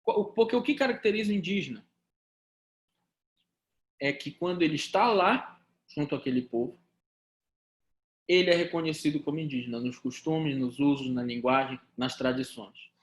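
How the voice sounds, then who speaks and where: A man from Pará with a Paraense accent, lecturing on law to students with rhetorical questions and lively asides. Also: a little high, moderate pace, pauses often, echoey room. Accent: Paraense Brazilian Portuguese. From Pará, Brazil. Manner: animated, talks to students directly, rhetorical questions. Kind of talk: recorded law lesson.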